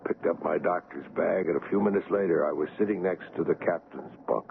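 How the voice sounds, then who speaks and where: An actor playing a calm, reassuring ship's doctor in an old-time radio drama, speaking in a measured, courteous way. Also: male voice, low-pitched, vocal fry. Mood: reflective, grave. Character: calm, reassuring male ship's doctor recounting his story. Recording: tinny old radio broadcast, faint noise.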